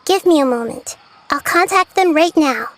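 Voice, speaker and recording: A woman voicing a small, girlish goddess of wisdom in a video game, soft, gentle and reassuring. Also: high-pitched, lively intonation, normal speed, slight background noise. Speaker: Lord of Dendro. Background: game music.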